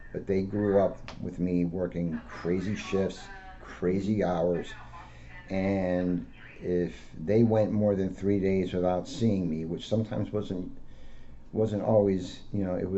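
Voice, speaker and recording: A man's voice: low voice, steady tone, slow, mellow. A middle-aged American man, a school police officer, reading aloud and talking informally to schoolchildren. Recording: dull lo-fi audio with faint noise.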